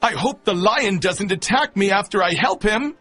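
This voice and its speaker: A man's voice: high-pitched, normal speed, no room sound. A man playing a timid, kind-hearted runaway slave in a children's cartoon, earnest and exclamatory. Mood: worried pity. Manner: exclamatory, over-expressive. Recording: music underneath.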